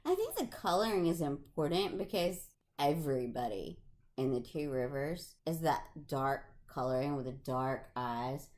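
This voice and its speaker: A woman's voice: sits low, big pitch swings, normal speed, a little mellow. A woman co-hosting a casual book discussion, offering her opinions in a conversational way. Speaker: podcast co-host.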